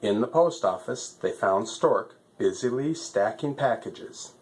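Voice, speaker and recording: A man's voice: on the low side, animated, unhurried, darkish tone. A man narrating a children's story as a storyteller.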